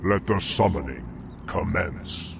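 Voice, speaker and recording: Scheming demon servant, deep gravelly voice, theatrical swoops, sinister, proud, game cutscene over music. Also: male voice, expressive, takes it slowly, background noise, full character voice. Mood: gloating. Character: sinister scheming demon lieutenant, proud yet obedient to master. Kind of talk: video game cutscene acting. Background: heavy music.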